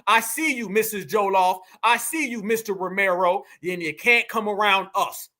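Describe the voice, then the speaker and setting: Man ranting to his viewers, high bright voice, real emphatic. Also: moderate pace. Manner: preachy, emphatic, addresses viewers directly. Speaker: commentary show host. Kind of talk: opinion commentary.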